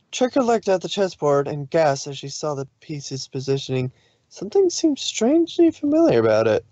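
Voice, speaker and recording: A man's voice: mid-range voice, darkish tone, no room sound. Dry, slightly dull-sounding male story reader. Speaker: fan fiction reader. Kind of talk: expressive story narration.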